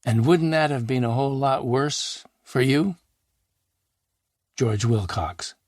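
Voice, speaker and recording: A man's voice: medium pitch, slow pace, darkish tone, dry recording, pitched above the performer's own voice. A wry, reflective old man, as a male narrator puts him on, owns up to his regrets in a rueful letter. His voice sits mid-height and plain, the sound dry and close. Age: older.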